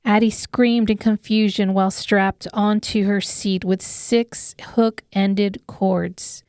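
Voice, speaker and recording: Female audiobook narrator, unhurried and smooth, dry close sound, scripted dramatic storytelling. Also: medium pitch, steady tone, a little mellow, no room sound.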